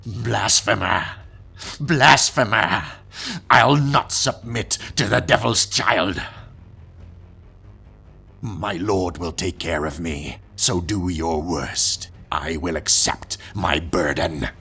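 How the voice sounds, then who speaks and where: A man's voice: low-pitched, slow pace, gravelly, bright, forceful tone, husky, soft background music, full character voice. A man playing a fanatical old villain, grandiose and righteous, preaching pompously in a comic dub. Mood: outraged, defiant. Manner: pompous, preaching, theatrical delivery. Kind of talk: dramatic comic dub acting.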